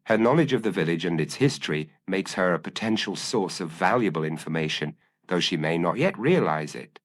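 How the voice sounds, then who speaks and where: Man playing brilliant male detective, incisive, formal, commanding, low voice, very varied intonation, audio mystery story. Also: expressive, a little fast. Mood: grave, decisive. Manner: crisp, formal, declarative deductions.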